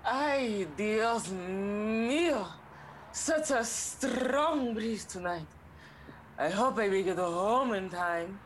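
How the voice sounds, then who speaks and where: A woman's voice: mid-range voice, expressive, extremely slow, slight background noise. A young woman acting a melodramatic young heroine in a student comedy radio play, sprinkling in Spanish words. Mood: flustered. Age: young adult. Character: young woman torn between duty and an old love.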